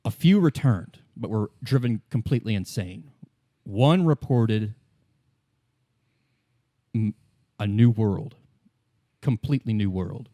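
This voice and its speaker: Mellow, low-voiced man narrating game lore. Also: lively intonation, slow, pauses often, no echo, studio quality. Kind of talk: improvised lore exposition in a tabletop game.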